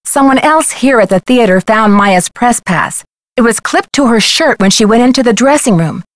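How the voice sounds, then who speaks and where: Game heroine: young American female detective played by a woman, fairly high-pitched, determined, articulate, dryly recorded. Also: lively intonation, moderate pace, no echo, close to an everyday voice. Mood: urgent, earnest. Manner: insistent, polite, clearly spoken. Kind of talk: video game voice acting.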